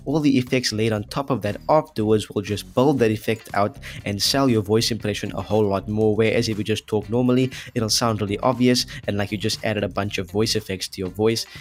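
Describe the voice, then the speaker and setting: A man hosting an audio effects tutorial in a casual, chatty, jokey way, talking informally to viewers. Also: on the low side. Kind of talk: casual scripted tutorial narration.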